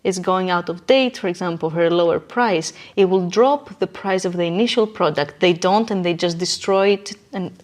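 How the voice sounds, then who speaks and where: A woman's voice: fairly low pitch, moderate pace, few pauses, a little mellow. Woman explaining ideas with emphatic conviction. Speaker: interview guest.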